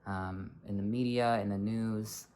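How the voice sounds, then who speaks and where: Low-voiced young adult, earnest and halting. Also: steady tone, mellow. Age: 20s. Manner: earnest, halting, searching for words. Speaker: vlogger. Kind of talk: personal reflective monologue.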